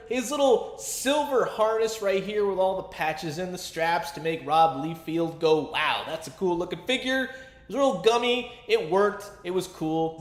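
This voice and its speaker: A man's voice: sits high, echoey room. A man giving a casual, chatty toy review with a fan's enthusiasm and colloquial asides. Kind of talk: casual action figure review commentary.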